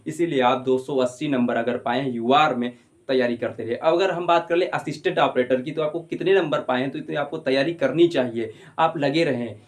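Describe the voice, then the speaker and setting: Casting: young man, mid-pitched voice, informal video host explaining updates, repetitive and reassuring. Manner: repetitive, reassuring, addresses listeners as brothers.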